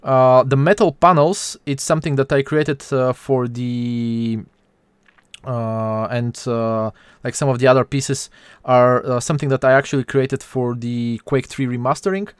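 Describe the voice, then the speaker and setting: A man with a Bulgarian accent hosts an informal live art lesson. His voice is mid-pitched and smooth, recorded very dry. He speaks haltingly, pausing often as he searches for words. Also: steady tone, dry recording. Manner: halting, hesitant delivery with frequent pauses. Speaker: 3D artist hosting a stream.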